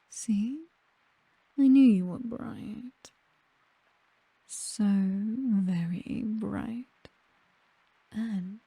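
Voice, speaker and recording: A woman with a British accent playing a possessive, seductive vampire mistress in a whispered, breathy ASMR roleplay, doting yet condescending and coolly menacing. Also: medium pitch, dark tone, dry recording, exaggerated voice, rough recording.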